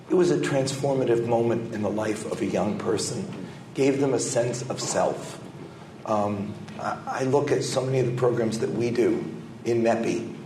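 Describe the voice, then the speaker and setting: A man with a cold speaks in a raspy, lowish voice as a panel guest. He talks reflectively and pauses on fillers. The sound is echoey, with clear background noise. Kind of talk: panel discussion remarks.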